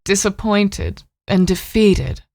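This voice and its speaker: A woman's voice: mid-range voice, expressive, slow, darkish tone. A woman narrating an audio drama, reading scripted scene descriptions in a composed way.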